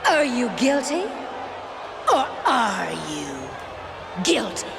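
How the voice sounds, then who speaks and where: A woman's voice: a little high, sing-song, extremely slow, gravelly, music in the background, a crowd in the background, full character voice. A woman playing a merciless, theatrical judge in a cartoon game, pompous and declaiming. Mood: accusing. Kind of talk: cartoon video game acting.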